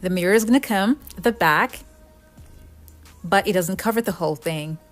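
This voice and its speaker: A woman's voice: mid-range voice, normal speed. Woman narrating a DIY tutorial over music. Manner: explanatory, step-by-step. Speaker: craft tutorial host. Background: background music.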